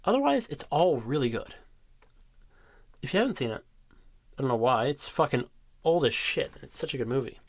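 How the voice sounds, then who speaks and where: A young man in his twenties rambles happily about films he loves, laughing often and cursing casually. His voice sits at a middle pitch. The recording is dry and close, with no room sound. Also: very animated, brisk, pauses often, no room sound. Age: 20s. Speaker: ASMR creator. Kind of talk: casual movie chat.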